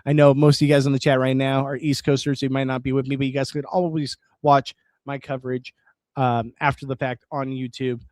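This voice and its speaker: A man hosting a late-night live stream, chatting casually with viewers, reading their comments aloud and rambling on with restarts, his voice going hoarse. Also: medium pitch, level tone, fairly quick, a little mellow, no echo, studio quality. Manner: rambling, reads chat aloud, restarts words.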